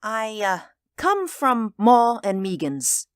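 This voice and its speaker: A man voicing an uneasy, solemn company messenger in a story, speaking in a halting, quiet, formal way. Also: sits high, very animated, clean tone, no echo, studio quality, pitched above the performer's own voice, full character voice. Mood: hesitant. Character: ill-at-ease, solemn male company messenger bearing bad news.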